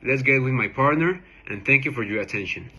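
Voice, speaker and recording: A man's voice: mid-range voice, animated, brisk, pushed and bright, husky, lo-fi sound. A man presenting as a show host, introducing an interview in an emphatic, announcer-like way.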